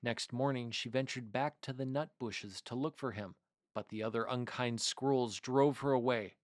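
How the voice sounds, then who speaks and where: A man reads a children's story aloud in a mid-pitched voice. The delivery is expressive and deliberate, with scripted storybook phrasing. The sound is dry and close. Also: dry recording.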